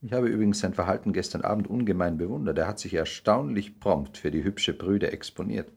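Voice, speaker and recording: An actor playing a suave, arrogant aristocratic lord in a 1960s German radio play, polished and condescending in manner. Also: male voice, sits low, a little fast, creaky, breathy edge, exaggerated voice. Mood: smooth, insistent.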